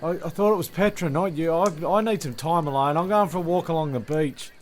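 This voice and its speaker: A man's voice: fairly high pitch, brisk, slight background noise, natural voice. A man playing a grumpy half-deaf castaway. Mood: irritable. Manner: irritable, swearing, sings off-key. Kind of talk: amateur comedy audio drama.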